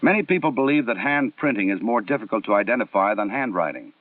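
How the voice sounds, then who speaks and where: Male American narrator for a vintage 1960s training film: formal, measured, exact diction, thin band-limited audio, slightly off-mic. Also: fairly low pitch, big pitch swings, dry recording, far from the mic. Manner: measured, instructional, precisely enunciated. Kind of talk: formal scripted documentary narration. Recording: muffled narrow-band 1960s film soundtrack.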